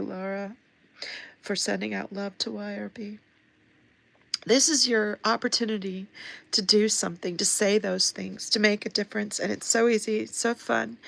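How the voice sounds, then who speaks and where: A woman's voice: mid-range voice, creaky, fairly bright tone, low-quality recording. A woman hosting a casual livestream. She is sniffly and losing her voice, and she talks earnestly with many fillers and restarts. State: sniffly, sad. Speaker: livestream host and activist. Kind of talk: casual livestream talk to viewers.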